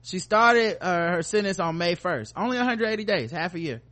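Higher-voiced man hosting a comedy podcast. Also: lively intonation, moderate pace, pushed and bright. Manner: informal, profane, joking. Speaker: podcast host. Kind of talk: casual comedic banter.

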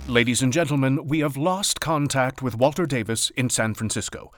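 A man's voice: mid-range voice, moderate pace, slight background noise, natural voice. A man playing a formal network announcer in an old-time radio drama, presenting programs with polished ceremony. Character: smooth-talking 1930s radio network continuity announcer. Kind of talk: vintage radio announcing, acted.

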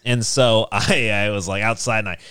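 A man hosting a casual chat show, telling personal stories in an animated, self-mocking way. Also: fairly low pitch, moderate pace, clean tone, no echo, clean studio sound. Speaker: podcast host.

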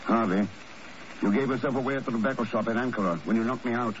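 A man's voice: medium pitch, fast, lightly acted. A man playing a cool, resolute secret agent in a 1950 radio drama, composed, clipped and authoritative. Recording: muffled, noisy old radio broadcast.

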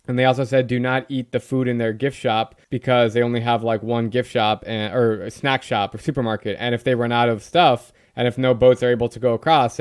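A man's voice: medium pitch, clean tone. A man hosting an interview podcast, talking in an enthusiastic, rambling way. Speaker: podcast host. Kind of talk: casual interview chat.

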